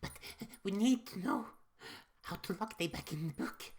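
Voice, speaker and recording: A man's voice: sits high, expressive, normal speed. A man voicing an anxious, bookish, earnest male character in a dramatic, urgent amateur story reading.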